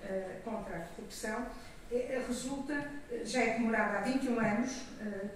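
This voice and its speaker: Her voice arrives from far off in a very reverberant auditorium, with faint noise around it. She is an older woman with a European Portuguese accent, addressing a conference as an organisation's president. She speaks off the cuff, halting and rewording as she goes. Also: a little high. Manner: halting, digressive, self-correcting. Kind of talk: semi-improvised conference speech. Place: auditorium stage. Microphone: podium microphone, recorded from the audience. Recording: echoey hall from far off, noisy.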